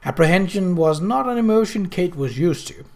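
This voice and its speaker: Audiobook narrator, mid-pitched, very lively intonation, expressive scripted story reading. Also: moderate pace.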